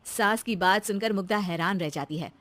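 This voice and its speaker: A woman's voice: medium pitch, normal speed, a bit bright, no echo. A woman reading a scripted moral tale as the narrator of a story.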